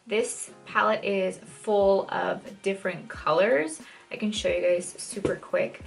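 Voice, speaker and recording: A young woman with a raspy, dry-throated voice, talking viewers through a makeup tutorial in a chatty, casual way. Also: mid-range voice, a little slow, soft background music. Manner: chatty, many "like" fillers, shifts pace often. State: dry throat, maybe catching a cold. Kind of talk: casual step-by-step tutorial talk. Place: home, in front of a mirror.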